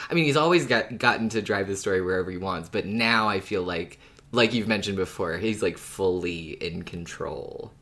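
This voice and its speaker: Low-pitched man co-hosting a podcast. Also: a bit bright. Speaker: podcast co-host. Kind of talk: casual fan podcast chat.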